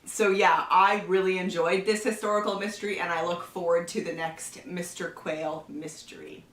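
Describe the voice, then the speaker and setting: A woman with a cold hosting a casual book review, chatty, often laughing and clearing her throat. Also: lowish voice, fairly even intonation, moderate pace, fairly bright tone. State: has a cold. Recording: roomy echo, somewhat far from the mic.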